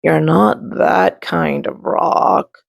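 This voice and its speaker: Woman voicing drawling, deadpan moss. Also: low-pitched, extremely slow, vocal fry, no echo, studio quality, full character voice. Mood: placid, dismissive. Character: drawling, placid, matter-of-fact clump of moss. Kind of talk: children's storybook read-aloud.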